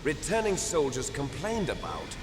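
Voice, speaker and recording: Bitter, theatrical game villain, echoing. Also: male voice, huge pitch swings, moderate pace, music in the background. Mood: grandiose lecturing. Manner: grandiose, menacing, resentful expository delivery. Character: bitter, theatrical villain, male clone of a legendary soldier. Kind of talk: dramatic video game voice acting. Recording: echoey hall reverb over loud rumbling noise.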